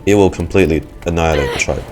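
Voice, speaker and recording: A man's voice: very deep voice, very fast. A man giving live game reaction commentary, stammering and repeating words anxiously. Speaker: streamer reacting to a game story. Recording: game music behind voice. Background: loud game music.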